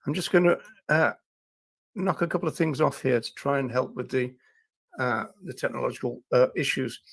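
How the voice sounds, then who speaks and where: A man hosting a livestream who talks in a rambling way, full of fillers and restarts, with a croaky voice today. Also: mid-range voice, fairly even intonation, normal speed. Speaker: livestream host. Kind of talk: casual livestream talk.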